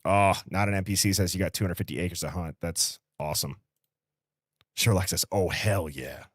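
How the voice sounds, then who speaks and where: Livestream host: American male, early thirties, low voice, close dry sound, casual and conversational. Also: moderate pace, dry recording. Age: early 30s. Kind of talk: casual live-stream chat, reading out viewer comments.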